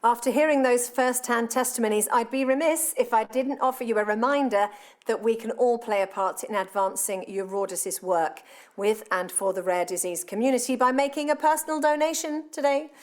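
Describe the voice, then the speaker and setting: A woman's voice: a little high, steady tone, moderate pace, a little mellow. A woman hosting an online awards ceremony, reading her script with an upbeat tone. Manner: upbeat, reads out messages.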